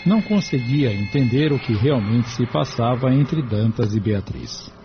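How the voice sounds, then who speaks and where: A man's voice: mid-range voice, lively intonation, a little slow, over loud music. A man reading scripted narration for an audio drama. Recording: dull recording with faint background noise.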